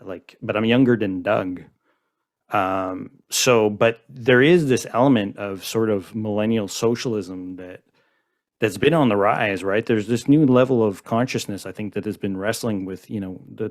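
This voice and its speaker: Rambling low-voiced podcast host. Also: male voice, moderate pace. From Montreal, Canada. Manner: rambling, many "I mean" restarts. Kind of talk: casual podcast conversation.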